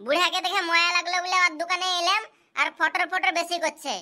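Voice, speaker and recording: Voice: very high voice, level tone, fast talker, very noisy. A performer voicing a cheeky, pushy schoolboy customer in a comedy cartoon, with whiny, insistent delivery. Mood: complaining. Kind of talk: comic cartoon dubbing.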